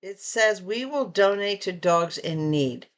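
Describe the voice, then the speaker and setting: A woman vlogger chatting casually through an unboxing, reading labels aloud and asking viewers questions, a bit hoarse from losing her voice. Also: mid-range voice, lively intonation, slow pace. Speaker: vlogger reviewing purchases.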